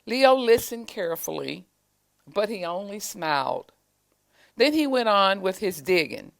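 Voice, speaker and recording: A woman reading a children's storybook aloud in a deliberate, expressive storytelling manner. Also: medium pitch, vocal fry. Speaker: reader, school staff member.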